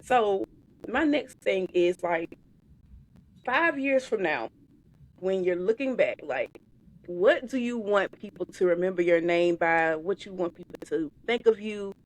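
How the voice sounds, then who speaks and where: A woman in her thirties hosting a podcast interview, upbeat and animated in a casual, affectionate way. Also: medium pitch, a little slow, poor audio. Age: 30s. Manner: upbeat, animated, calls the guest "baby". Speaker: podcast host.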